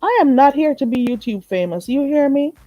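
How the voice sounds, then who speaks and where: A woman's voice: high-pitched, expressive, brisk, warm tone, dry recording. Woman host, stuffy and a bit nasal, emphatic live-stream chatter, dry close mic. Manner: chatty, emphatic, repeats herself. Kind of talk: late night live chat with viewers.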